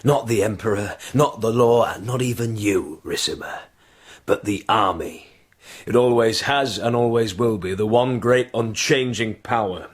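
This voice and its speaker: A hard-bargaining army commander in a historical radio drama. The voice is low and husky, the manner blunt, measured and weighty. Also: male voice. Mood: stern.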